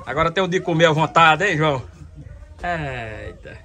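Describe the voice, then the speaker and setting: A man's voice: a little high, lively intonation, moderate pace, creaky, sharp tone. A man, vlogging outdoors in a chatty way and laughing often. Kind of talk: casual vlog narration. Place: outdoors, rural sertão and car.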